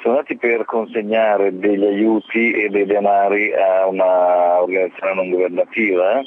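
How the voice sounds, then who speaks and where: A man giving a spontaneous radio report as a journalist, halting and searching in short phrases. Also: lowish voice, level tone. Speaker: journalist reporting on a war zone. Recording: narrow phone-like line, background noise.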